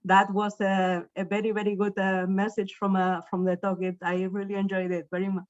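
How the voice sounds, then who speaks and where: A woman from northern Spain with a Spanish accent, giving an academic keynote talk as a professor in a somewhat halting, self-repeating way. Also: mid-range voice, monotone, dry recording, muffled recording. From northern Spain, Basque Country. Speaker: university professor, invited keynote speaker. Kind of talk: academic presentation.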